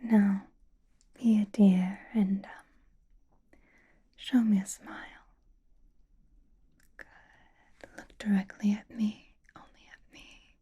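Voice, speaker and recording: A woman playing a possessive, teasing female vampire in a whispered ASMR roleplay, breathy, coy, intimate and commanding. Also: mid-range voice, flat delivery, drawn-out words, long pauses, dark tone, exaggerated voice.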